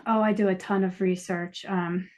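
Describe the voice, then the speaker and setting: A woman's voice: lowish voice, fairly even intonation, moderate pace, a little mellow. An American woman, a novelist, talking casually as a guest on a podcast. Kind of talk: casual interview chat.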